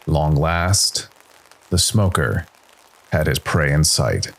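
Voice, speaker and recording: A man's voice: extremely low pitch, expressive, slow, gravelly, slight background noise. A man reading a dramatic science fiction story as a scripted narration.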